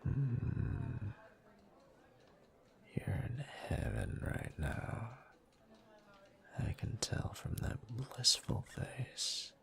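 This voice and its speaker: A man playing a teasing, dominant bully turned seductive lover in an intimate whispered roleplay audio, murmuring in a slow, smug, breathy way. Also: medium pitch, wildly swooping pitch, full character voice. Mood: mocking, aroused.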